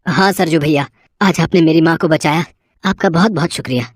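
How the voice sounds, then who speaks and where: A woman voicing a polite young man, a devoted and grateful son, in an animated moral story. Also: low voice, a little fast, dry recording, clean studio sound. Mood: grateful, friendly.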